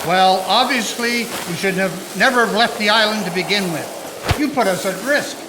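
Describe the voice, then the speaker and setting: A man's voice: high voice, moderate pace, natural voice. A man playing an argumentative, complaining boat passenger in a historical radio drama. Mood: indignant. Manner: complaining, accusatory. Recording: noisy sizzling ambience.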